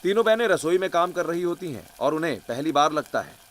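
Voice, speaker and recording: A man's voice: on the high side, animated, brisk, slight background noise. A man narrating a scripted cartoon family story.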